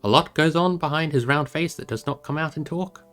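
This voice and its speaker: Man voicing brisk, inquisitive young hobbit Merry. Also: a little high, a bit bright, faint music behind, pitched above the performer's own voice, lightly acted. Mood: probing.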